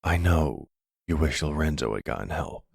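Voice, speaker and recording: A man playing a middle-aged mafia boss and devoted stepfather in an intimate roleplay audio drama, with a husky, gravelly voice and an affectionate, wry way of talking. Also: a bass voice, animated, normal speed, no room sound, clean studio sound, exaggerated voice. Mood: tender.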